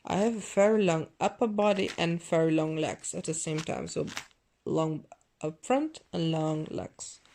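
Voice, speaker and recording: Vlogger woman, gravelly fry, dry throat, meandering chatter, close handheld camera in a living room. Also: low-pitched, dark tone, a little hiss or hum. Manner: rambling, self-correcting, non-native phrasing; deliberately overdone mixed accent. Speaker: fashion vlogger. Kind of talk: casual unboxing haul chat.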